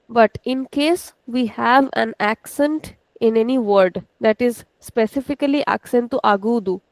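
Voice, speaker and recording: Indian woman teacher, higher voice, dry close mic, patient, repeats each word twice. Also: a little mellow, dry recording, poor audio. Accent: Indian English. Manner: slow, drawn-out demonstration of pronunciation, repeating words; switches into rapid Hindi asides. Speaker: language teacher. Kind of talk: online language lesson.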